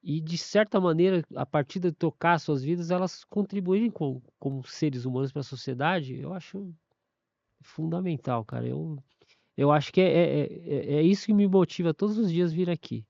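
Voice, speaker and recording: A man's voice: a little high, moderate pace, vocal fry, darkish tone, no echo, lo-fi sound. A man, a university staff member giving a casual testimony, often stumbling, restarting and repeating words.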